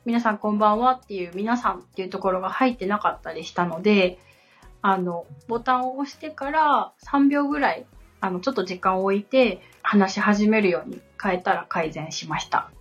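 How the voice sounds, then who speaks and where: A woman's voice: mid-range voice, a little fast, husky. A woman co-hosting a podcast, talking politely and conversationally in simple words. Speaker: podcast co-host and assistant. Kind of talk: conversational podcast talk, answering questions. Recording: slightly dull audio with background music. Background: background music.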